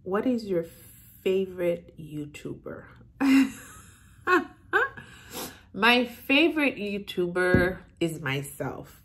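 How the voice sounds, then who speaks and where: Female YouTuber, middle-aged, Haitian accent with a Southern US tinge. Low pitch, slow and hesitant, casual Q&A chat. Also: sing-song. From Haiti, moved to the US as a child and grew up in Florida. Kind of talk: casual chat, answering viewer questions. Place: room in a house, indoors.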